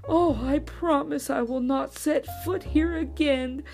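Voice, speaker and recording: A woman voicing a sly fox in a children's story, with drawn-out, exaggerated delivery. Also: sits high, creaky, darkish tone, faint music behind, pitched above the performer's own voice, full character voice. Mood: pained, pleading. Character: sly, smug, hungry fox who ends up whining in pain.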